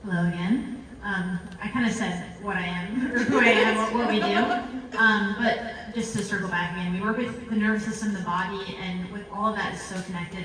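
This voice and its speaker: A woman's voice: mid-range voice, a bit bright, slight background noise. A woman panelist introducing herself in a casual, chatty way, laughing at herself. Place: large event hall with audience. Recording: echoey hall recorded from far off.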